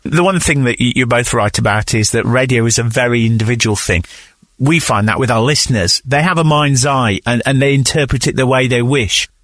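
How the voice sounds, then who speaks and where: A man's voice: mid-range voice, fairly quick, a bit bright, no room sound. A man hosting a conversational radio interview in an affirming, reflective manner.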